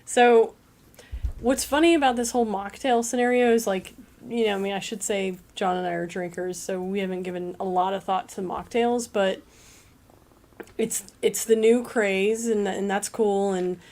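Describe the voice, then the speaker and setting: A woman's voice: mid-range voice, steady tone, moderate pace, a little mellow. A woman co-hosting a livestream, chatting upbeat and reading out viewer comments. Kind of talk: casual chat.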